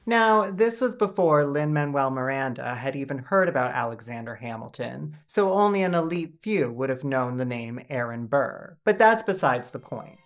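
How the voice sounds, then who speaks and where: A Canadian woman narrates a comedic video essay in a low voice. Her delivery is dry and mock-dramatic, with music underneath. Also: lively intonation, moderate pace, hardly any pauses. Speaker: video essayist and channel host.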